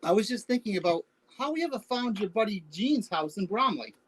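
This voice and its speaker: An actor plays an older spouse in a short stage play, with a mid-pitched voice that rises and falls a lot. The delivery is conversational, wry and bantering, teasing yet fond. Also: animated, fairly quick, slightly rough, poor audio.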